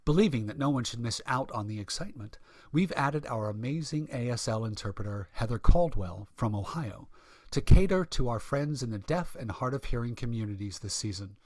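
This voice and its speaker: A man's voice: mid-range voice, animated, brisk, dry recording. Theatrical male radio announcer, dry recording. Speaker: radio show announcer and host. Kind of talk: scripted vintage radio introduction.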